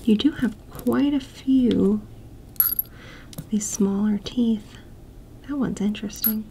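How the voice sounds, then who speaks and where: Woman, ASMR whisper, slow and unhurried, gravelly fry, audible background hiss. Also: mid-range voice, low-quality recording. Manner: whispered and soft-spoken, slow and gentle. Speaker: ASMR creator. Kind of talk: soft-spoken ASMR roleplay. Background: tapping and clicking sounds.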